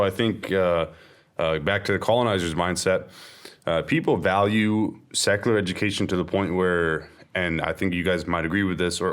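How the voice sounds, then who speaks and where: A man with a very deep, low voice talks through his views in a relaxed podcast panel. He hedges often and pauses on fillers as he works out his points. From South Asia. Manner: thoughtful, hedging with fillers. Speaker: podcast co-host. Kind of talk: casual panel discussion.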